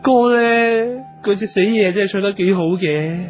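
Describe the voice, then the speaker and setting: A man's voice: high-pitched, normal speed, close to an everyday voice. An actor playing a fussy, effeminate, clingy male talent manager in a comedic radio drama, with a Hong Kong Cantonese accent and a whiny, auntie-like manner. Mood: pleading, admiring. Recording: music behind the voice.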